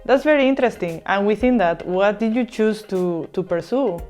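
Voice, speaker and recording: A young woman from Uruguay whose first language is Spanish hosts an online interview. She introduces and questions her guest in a medium-pitched voice, with soft music in the background. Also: moderate pace. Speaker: channel host and interviewer.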